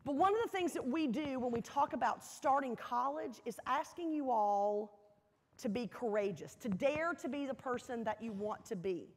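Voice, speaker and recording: A woman hosting an orientation program, giving a welcome speech with deliberate, emphatic delivery in a hall. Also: fairly high pitch, lively intonation. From Texas resident, not from Texas. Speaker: program host. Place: large indoor auditorium stage. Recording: echoey hall, some background noise.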